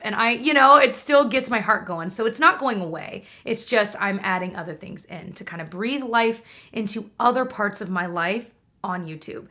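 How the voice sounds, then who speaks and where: A woman's voice: mid-range voice, bright, forceful tone. A young American woman chatting casually and informally to her viewers as a beauty and lifestyle YouTuber.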